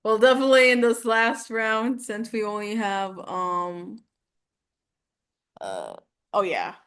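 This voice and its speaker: A woman's voice: medium pitch, lively intonation, slow pace, a bit bright. A woman speaking as a voice acting student in a casual lesson chat, often searching for her words.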